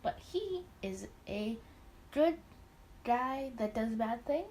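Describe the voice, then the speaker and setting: A woman's voice: on the high side, expressive, slow pace, a little mellow, a little hiss or hum. A woman giving casual playthrough commentary on a game and reading its text aloud. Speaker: gaming video host.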